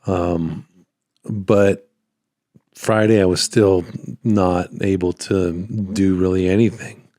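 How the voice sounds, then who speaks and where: A man hosting a casual solo podcast, rambling and halting, with a slightly hoarse voice that cuts in and out as he recovers from being sick. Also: sits low, fairly even intonation, mellow, no room sound, clean studio sound. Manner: rambling, halting, repeats phrases. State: hoarse, voice cutting in and out after illness. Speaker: podcast host, small business owner.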